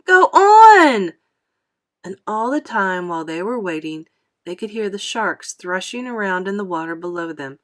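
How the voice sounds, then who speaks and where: A woman reading a children's novel aloud as an expressive classroom storyteller. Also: on the low side, normal speed. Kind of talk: expressive children's audiobook narration.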